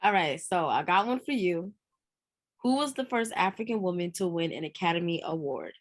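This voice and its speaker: A woman's voice: lowish voice, animated, moderate pace. Woman as a casual, defensive grown daughter. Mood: playful. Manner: casual, naturalistic. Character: busy grown daughter, defensive, teasing, easily exasperated. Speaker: actor in a script read. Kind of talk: live screenplay table read.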